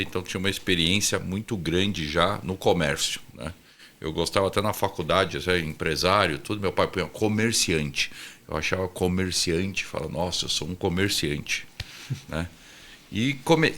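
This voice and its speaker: A man's voice: low voice, fairly bright tone. A middle-aged man chatting casually as an interview guest, informal and anecdotal, often acting out bits of remembered conversation. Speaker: guest, restaurant chain founder and chief executive.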